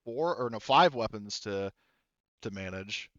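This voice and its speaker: A man acts as game master for a tabletop session. His voice is clean and smooth, and he thinks aloud in stops and starts as he checks rules. The sound is close and dry, a little muffled. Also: lowish voice, expressive, measured pace, a bit bright, no room sound. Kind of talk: casual tabletop game refereeing.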